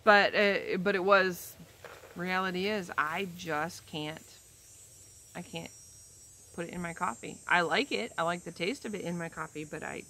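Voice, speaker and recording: A woman of about fifty talks casually to the camera while seated outdoors in a leafy yard. Her voice sits a little low, and she rambles along with ums and self-corrections. The sound is a simple camera recording, in the open air. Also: a little slow, bright, forceful tone, slight background noise. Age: 50s. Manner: chatty, rambling, frequent ums and restarts. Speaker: vlogger. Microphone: camera in front, head and shoulders framing.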